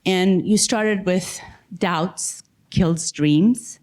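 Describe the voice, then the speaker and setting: A woman from Iran sharing a personal story on a panel, measured and emphatic, drawing some words out slowly. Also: fairly low pitch, darkish tone.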